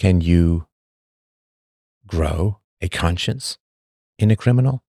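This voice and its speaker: A man hosting a podcast, reading a scripted monologue full of rhetorical questions and emphatic pauses. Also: low-pitched, dark tone, breathy edge, dry recording, studio quality. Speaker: podcast host.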